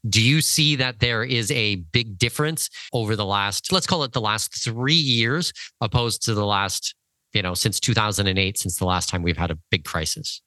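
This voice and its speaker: A man speaking as a podcast host in a clear presenting style. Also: fairly low pitch, normal speed, sharp tone, no echo, poor audio. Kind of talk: interview questions and scripted promotion.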